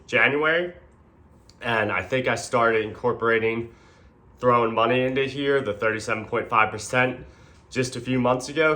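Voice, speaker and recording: A man with a stuffed-up, nasal voice talking casually to camera, relaxed and hesitant, often saying um and clearing his throat. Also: fairly low pitch. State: congested, nasal from a sinus infection. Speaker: video creator. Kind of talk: casual explainer talk.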